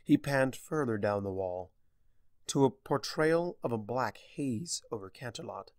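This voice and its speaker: A man's voice: mid-range voice, animated, a little slow, no echo. A man reading a fantasy story aloud as its narrator, in a dramatic scripted style. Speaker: fan fiction narrator.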